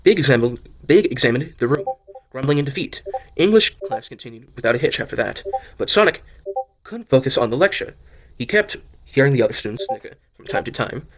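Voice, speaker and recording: A man narrates an amateur audio drama in an average-pitched voice. He reads story prose and often stumbles, repeating and correcting phrases as he goes. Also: sing-song, fairly quick. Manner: stumbles over lines and corrects himself. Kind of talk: amateur reading of fan fiction narration.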